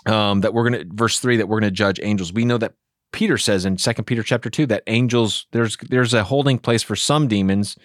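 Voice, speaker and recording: Earnest pastor with a low voice. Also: male voice, brisk, dry recording, studio quality. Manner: earnest, animated, acts out inner voices. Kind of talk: casual theology discussion.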